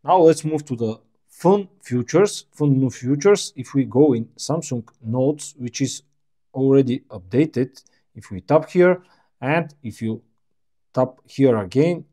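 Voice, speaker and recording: Bulgarian-speaking man with a mellow voice. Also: mid-range voice. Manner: unhurried, plainspoken, slightly halting non-native phrasing. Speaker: tech reviewer. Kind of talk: casual screen walkthrough.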